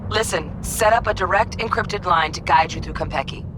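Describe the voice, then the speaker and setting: An actress playing a curt, cautious, bookish hacker in a video game. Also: female voice, fairly low pitch, brisk, background noise, lightly acted. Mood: brisk, dry. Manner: curt, no-nonsense.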